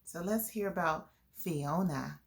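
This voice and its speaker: A woman's voice: fairly low pitch, slow, creaky, slight background noise. A woman hosting a children's storytime, reading a picture book aloud and explaining it to young listeners. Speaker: children's storytime host and reader.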